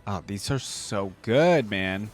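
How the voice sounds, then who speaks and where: A man's voice: lowish voice, animated, slow. A man giving casual reaction commentary on game voice lines as a host, musing aloud in loose, informal fragments.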